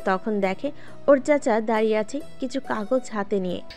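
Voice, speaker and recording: A woman tells the story in a plain, even voice, now and then speaking straight to her listeners. Her pitch is slightly high, and light music plays beneath her narration. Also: normal speed. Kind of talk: audio drama narration.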